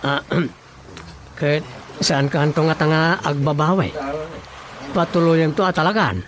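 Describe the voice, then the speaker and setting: A woman's voice: low-pitched, expressive, measured pace, crowd noise. A woman playing a grumbling, easily exasperated villager in a comedic radio drama, with an Ilocano Filipino accent and exclaiming delivery. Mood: puzzled, grumbling. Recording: clear background noise.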